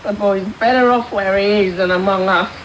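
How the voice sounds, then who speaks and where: A woman's voice: mid-range voice, fairly even intonation, moderate pace, natural voice. Actress as a bitter, defiant young London woman. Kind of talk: radio drama acting. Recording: faintly noisy off-air radio tape.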